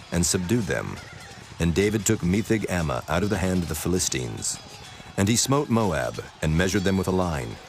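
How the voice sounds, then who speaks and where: A man narrating scripture as a scripted, dramatized reading. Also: low voice. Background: music.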